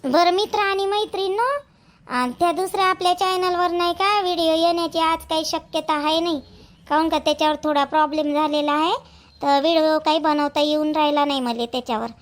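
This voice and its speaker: A woman's voice: high-pitched, fairly even intonation, fairly quick. A woman with a Vidarbha Marathi accent, casually addressing viewers as a channel host.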